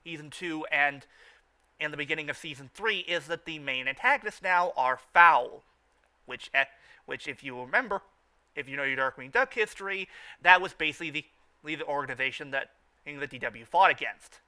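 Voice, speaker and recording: Bright, high-pitched man stammering through fan commentary. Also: lively intonation, normal speed. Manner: stammering, often repeats phrases. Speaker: host of a fan riffing show.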